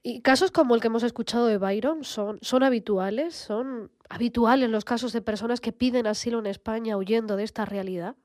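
A woman hosting a radio show who introduces topics and asks her guest questions in a composed, clear way. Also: on the high side, fairly quick, hardly any pauses, darkish tone, dry recording, studio quality. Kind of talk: radio interview.

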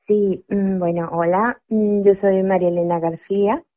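A woman in her thirties with a Salvadoran accent, answering questions over the phone in a measured, slightly hesitant way. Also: medium pitch, steady tone. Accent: Salvadoran Spanish. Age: 30s. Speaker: interviewee. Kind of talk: phone interview. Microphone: phone line. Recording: muffled phone-line recording.

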